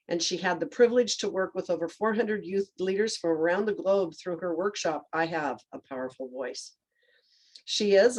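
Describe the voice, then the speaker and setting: A woman with a fairly low voice reads out a guest's introduction over a video call. She is enthusiastic and leans hard on key phrases. Her delivery is steady, like a prepared script. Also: rough recording. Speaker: host.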